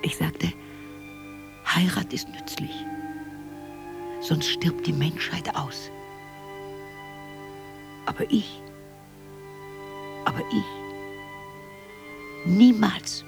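Radio monologue: wistful, resolute woman, very slow, deep fry in the voice, halting and reflective. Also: expressive, exaggerated voice. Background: music.

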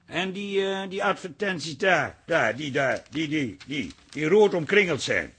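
An actor playing a middle-aged, pushy and sarcastic café husband in a radio drama, speaking with an Amsterdam accent. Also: male voice, on the high side, very animated, unhurried, a bit bright, slightly rough, exaggerated voice. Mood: sly.

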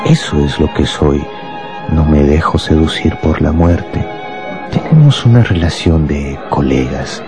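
Deep-voiced, coldly fanatical bomb maker. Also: male voice, music in the background. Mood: solemn, obsessed. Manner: deliberate, grandiose, menacing. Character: cold fanatical bomb-making professor, proud and deadly calm. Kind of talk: Spanish-language radio drama acting.

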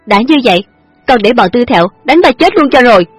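A hot-tempered young woman, played by a female storyteller, fires off shrill retorts, pitched well above the reader's own voice and pushed loud. Her tone has a husky, breathy edge over faint background music in a dry, close recording. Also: no room sound. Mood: angry scolding. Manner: shrill, scolding, fast retorts.

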